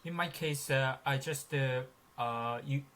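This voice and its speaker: A man in his thirties with a Japanese accent, a halting English learner in an online lesson, pausing often with fillers. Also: mid-range voice, fairly bright tone. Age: 30s. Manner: hesitant, halting, frequent fillers.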